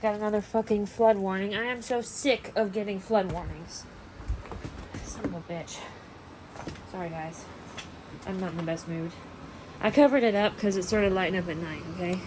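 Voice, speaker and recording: Blunt lady rambling to her viewers at home, kinda grumpy and sweary, medium pitch, bit of background noise. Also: normal speed, pauses often. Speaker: amateur vlogger filming a weather radio alert. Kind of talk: casual chat to camera. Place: a room at home.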